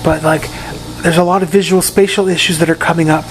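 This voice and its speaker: A man with a hoarse, raspy voice giving a casual video update from his room in a rehab facility, often repeating and restarting his words. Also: on the high side, fairly even intonation, fairly quick, slight background noise, poor audio. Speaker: patient giving a recovery update.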